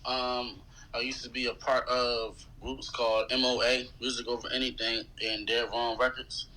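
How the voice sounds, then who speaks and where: A man's voice: mid-range voice, normal speed, low-quality recording. A man from West Philadelphia with a Philadelphia accent, a rapper telling stories casually and slangily as an interview guest. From West Philadelphia, USA. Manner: colloquial, slangy, animated retelling with quoted dialogue. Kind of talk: casual chat, storytelling.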